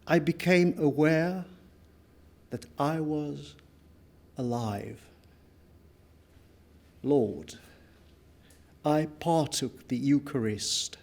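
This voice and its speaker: A man giving a dramatic literary reading of a first-person account, solemn and deliberate, with weighty pauses. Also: on the high side, creaky, darkish tone. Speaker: reader at a book launch.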